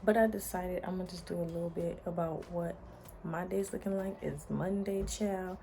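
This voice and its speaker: Mellow-voiced, sassy American woman vlogger. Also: on the low side, fairly even intonation, normal speed, vocal fry, a little hiss or hum. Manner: sassy, playful, slangy. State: slightly hoarse. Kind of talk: casual chatty day-in-the-life vlog.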